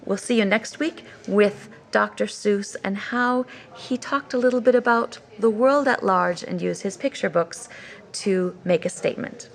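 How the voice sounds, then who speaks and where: American female teacher-host, mid-pitched voice, explaining and reading children's books in an informal, instructive way. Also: a little slow. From United States. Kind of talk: educational talk with read-aloud of picture books.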